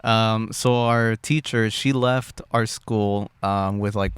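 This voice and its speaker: Smooth-voiced laid-back young man. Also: on the low side, fairly even intonation, takes it slowly, dry recording. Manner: laid-back, swears freely, rambling stories. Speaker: podcast co-host. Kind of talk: casual chat.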